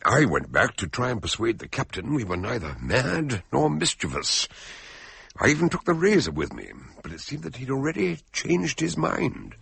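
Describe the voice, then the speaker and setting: An actor playing a dignified, wordy gentleman investigator recounting his adventure in a radio drama, formal and donnish. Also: medium pitch, wildly swooping pitch, normal speed, vocal fry, breathy edge, no room sound, exaggerated voice. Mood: wry recounting. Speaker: investigator and narrator. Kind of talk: radio drama acting with storytelling narration.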